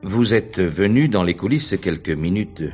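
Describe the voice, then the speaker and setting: A man's voice: low-pitched, expressive, unhurried, darkish tone. A man playing a probing, wry police investigator in a radio mystery, asking insinuating questions with knowing hesitations. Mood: puzzled, pressing. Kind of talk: 1950s French radio mystery acting.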